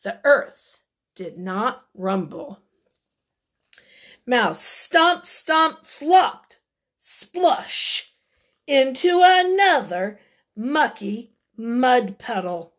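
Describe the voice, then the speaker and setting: A woman reading a picture book aloud to children, expressively and deliberately, with dramatic emphasis. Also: on the high side, big pitch swings. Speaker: children's read-aloud storyteller.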